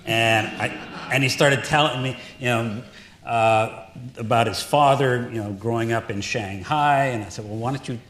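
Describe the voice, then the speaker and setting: A man's voice: mid-range voice, a little slow, fairly bright tone. A man, a film director, answering questions on stage in an easygoing, joking manner. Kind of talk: Q&A panel answers. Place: auditorium stage. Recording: echoey hall with faint noise.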